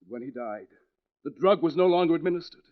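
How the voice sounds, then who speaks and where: Radio mystery role: intense accusing male played by a man, fairly high voice, deliberate theatrical delivery, muffled vintage sound. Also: expressive, natural voice. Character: intense, accusing man with cold, insistent menace. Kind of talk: 1940s radio mystery acting. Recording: dull vintage 1940s radio recording.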